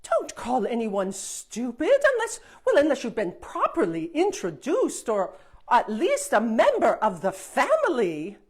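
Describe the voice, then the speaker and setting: A woman's voice: on the high side, huge pitch swings, a little slow, slightly rough, exaggerated voice. A prim storybook fairy with a dry, scolding tongue, spoken by a woman reading aloud. She lets her lines rise and fall widely, taking her time over every word. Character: tart old-fashioned female fairy, prim and magical.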